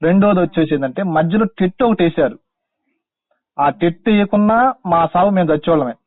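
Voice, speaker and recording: A young man pleads over a narrow, dry phone line in a high voice. He speaks Telangana Telugu, respectful yet insistent, as a caller making an appeal. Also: lively intonation, fairly quick, dry recording. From Khammam district, Telangana, India. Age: young adult. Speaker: caller, teacher-exam candidate.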